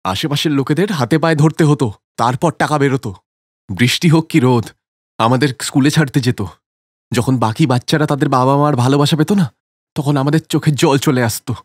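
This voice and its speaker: A man's voice: medium pitch, fairly quick, no room sound, clean studio sound, natural voice. A man voicing a bitter grown son who rebukes his father in a dramatic cartoon story. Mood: bitter, accusing.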